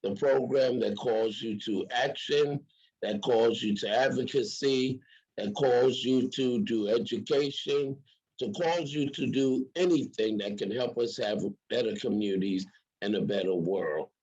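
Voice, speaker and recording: Male host, husky, creaky voice, casual interviewing, emphatic repeated phrasing, slightly dull lo-fi audio. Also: medium pitch, a little slow, a little mellow. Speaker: program host.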